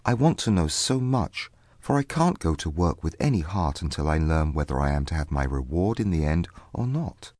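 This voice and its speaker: A woman voicing an earnest young gentleman suitor in a storybook, tender and persuasive in manner. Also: very deep voice, animated, normal speed, no room sound, clean studio sound, voice pushed down. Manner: persuasive, beseeching.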